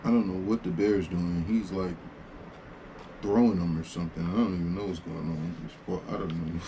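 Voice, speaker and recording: Male storyteller, low relaxed voice, informal unpolished read-aloud of kids' books, some background noise. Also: moderate pace, poor audio. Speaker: storyteller reading children's picture books. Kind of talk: read-aloud narration with casual asides.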